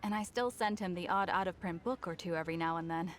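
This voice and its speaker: A woman's voice: on the low side, fast, natural voice. A woman playing a matter-of-fact female bookshop owner in a game. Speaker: game character.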